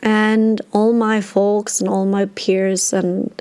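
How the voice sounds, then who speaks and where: A young woman from Germany hosts her podcast, telling her personal story in a relaxed way, with many fillers and restarts. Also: medium pitch, takes it slowly, clean tone. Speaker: podcast host.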